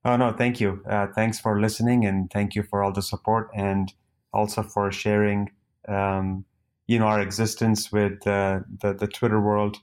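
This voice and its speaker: A man hosting an interview show, speaking conversationally in a polite, halting way. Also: lowish voice, level tone, darkish tone, clean tone. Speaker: podcast host, interviewer.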